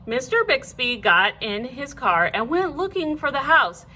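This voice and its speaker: A woman reading a children's storybook aloud like a teacher, in an animated, clearly phrased way. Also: sits high, unhurried.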